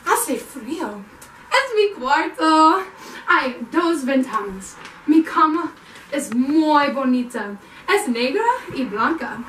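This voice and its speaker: A young woman, a native English speaker, performing an amateur scripted skit with halting, exaggerated learner delivery. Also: high voice, animated, fairly bright tone. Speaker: student performer. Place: inside a family house. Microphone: camera mic from a distance. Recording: echoey rooms, distant camera mic, noisy.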